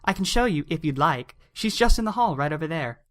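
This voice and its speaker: A woman's voice: low voice, expressive, brisk. A woman playing a kindly pony receptionist in an audio drama, polite, helpful and apologetic.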